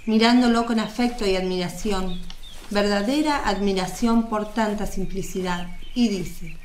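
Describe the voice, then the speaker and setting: A woman's voice: medium pitch, unhurried. A woman reading scripted narration as the narrator of a religious radio drama. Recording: roomy echo, noise, birdsong behind.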